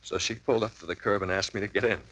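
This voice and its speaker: A rattled man who wavers between dazed mumbling and near-hysterical insistence. His voice is bright and sharp-edged. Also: fairly low pitch, fairly even intonation, rapid-fire, no echo, rough recording. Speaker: client telling a story. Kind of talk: 1950s radio drama acting.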